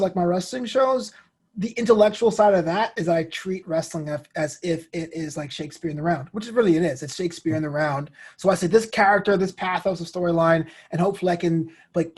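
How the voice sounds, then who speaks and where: A man's voice: sits high, darkish tone, husky. A man, talking casually as an interview guest and acting out quoted remarks as he tells stories. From New York City, United States. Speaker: guest, comedian and show host.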